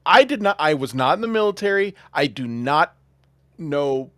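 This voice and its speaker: A lively man hosts a casual podcast over a video call. His voice jumps around in pitch and he breaks into laughter often. The sound is dry and close. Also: moderate pace, no room sound. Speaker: podcast host.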